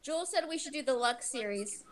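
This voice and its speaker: A woman with a high-pitched voice takes part in a relaxed book club discussion. She speaks informally and bluntly, as in everyday talk among members. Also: moderate pace.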